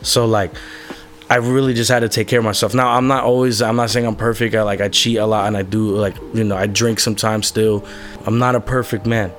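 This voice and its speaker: A man's voice: on the low side, flat delivery, brisk, slight background noise. A young man, a musician, talking candidly about his life in a rambling way, with many fillers and casual swearing. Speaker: musician being interviewed. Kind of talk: candid personal conversation.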